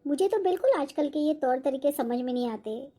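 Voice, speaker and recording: A woman's voice: sits high, animated, brisk, warm tone. A woman voicing a humble, worried middle-aged widowed mother in a Hindi cartoon moral story.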